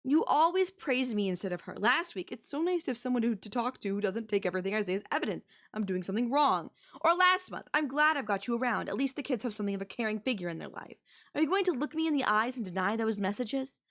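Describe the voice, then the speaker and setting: A woman's voice: a little high, expressive, fast talker, a bit bright. A woman playing a manipulative young babysitter who is obsessively in love with her employer, speaking in a conversational, possessive and defensive way in an intimate audio roleplay. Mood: bitter, insistent. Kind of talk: anime-style audio roleplay acting, intimate one-to-one.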